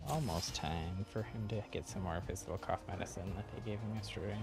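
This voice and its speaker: Low-voiced man streaming over game music. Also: fast, vocal fry. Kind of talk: casual live gameplay commentary.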